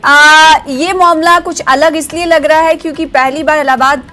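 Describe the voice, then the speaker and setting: High-pitched Indian woman news anchor. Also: fairly even intonation, moderate pace, bright, forceful tone. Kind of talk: Urdu news bulletin presenting. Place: broadcast news studio.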